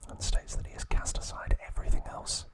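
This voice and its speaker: A man whispering an ASMR recap of trivia, pausing now and then to correct himself. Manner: slow soft whisper, occasional self-corrections. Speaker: ASMR host.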